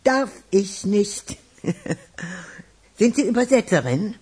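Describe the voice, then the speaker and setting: A woman playing a chatty, proud retired music teacher in a radio drama, teasing and theatrical, with a husky older voice. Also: medium pitch, lively intonation, darkish tone, heavily acted. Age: 60s or older. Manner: teasing, drawn-out, theatrical delivery. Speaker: actor in a radio crime drama.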